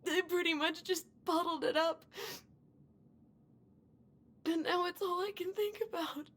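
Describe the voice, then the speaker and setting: A woman playing a guilt-ridden young woman in a naturalistic video game drama, halting, emotional and earnest. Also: extremely high pitch, fairly even intonation, a bit bright. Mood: remorseful. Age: young adult.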